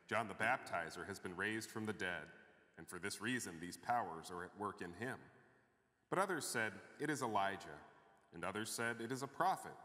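A middle-aged man reads scripture in a measured, clear voice, bright and forceful. He is heard from a distant camera. The reading carries a long reverb from the church sanctuary. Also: lowish voice. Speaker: pastor. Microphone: far camera.

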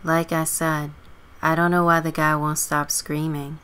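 A woman's voice: sits low, level tone, measured pace, fairly bright tone, a little hiss or hum. A woman playing a stubborn, sardonic teenage runaway girl with a dry manner in a scripted game story. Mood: dry, offhand.

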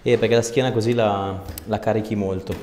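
A man's voice: lowish voice, steady tone, brisk, a little mellow. A man, a chiropractor giving a patient informal, reassuring hands-on instructions. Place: small treatment room, indoors. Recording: echoey small room with background noise.

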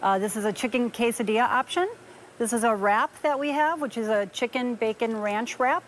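A woman's voice: fairly high pitch, brisk, a little hiss or hum. A middle-aged woman who owns a bowling alley, answering interview questions in a matter-of-fact way inside the alley. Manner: matter-of-fact, listing items.

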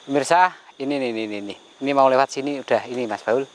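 A man acting as a spiritual medium on a night ghost hunt in a bamboo forest, calmly giving commands and reciting prayers. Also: mid-range voice, racing, a little hiss or hum. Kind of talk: night ghost-hunting vlog.

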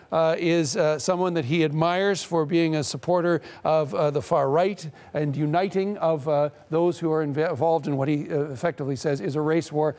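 A middle-aged correspondent talks through a live news segment in a TV studio. His voice sits a touch higher than most men's, and he speaks in broken-up phrases with frequent "uh" pauses. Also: a little mellow. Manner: halting, many "uh" pauses.

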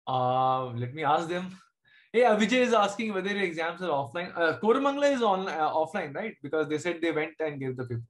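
A man's voice: fairly high pitch, lively intonation, brisk. A man in his thirties from Jharkhand with an Indian English accent and Hindi as his first language, teaching math on a video call, explaining step by step and checking in with students often. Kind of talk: online class teaching. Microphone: computer mic on a video call.

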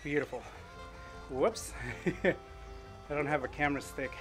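A man's voice: a little high, a little slow, over music. Chatty middle-aged man gaming on a bike. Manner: chatty and upbeat, thinking out loud. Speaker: streamer. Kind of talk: casual gaming commentary while cycling. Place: home room with indoor bike.

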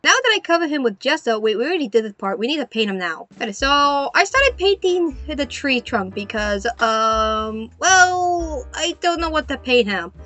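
A young adult woman narrating a craft video as host in a playful, animated, self-mocking way. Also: sits high, normal speed, hardly any pauses.

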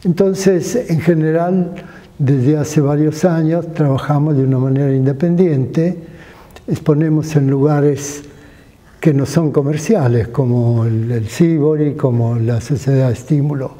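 Slow, dark-voiced older Argentine sculptor. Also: male voice, fairly high pitch, fairly even intonation. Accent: Argentine Spanish. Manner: slow, pausing, with hesitation fillers. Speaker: sculptor, interviewee. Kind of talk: reflective interview answers. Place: studio indoors with sculptures.

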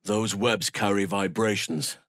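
A man playing a big, gentle, wise druid in a fantasy game, speaking in measured, formal, thoughtful phrases. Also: fairly low pitch, level tone, dry recording, studio quality. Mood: pensive. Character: big gentle male druid, wise, earnest nature lover.

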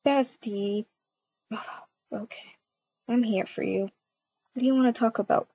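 A woman's voice: fairly high pitch, a little slow, warm tone, breathy edge, lo-fi sound. A woman speaking casually as she improvises a game roleplay and chats to viewers. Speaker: video creator acting out a roleplay.

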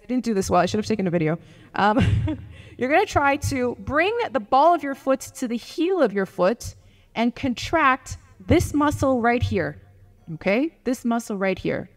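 A young woman fitness coach giving a live workshop talk to a seated audience on a handheld mic, lively, laughing often and checking in with her listeners. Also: sits high. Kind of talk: live workshop talk, mixing English and German. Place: large gym hall with a seated audience. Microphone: handheld microphone. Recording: long hall reverb.

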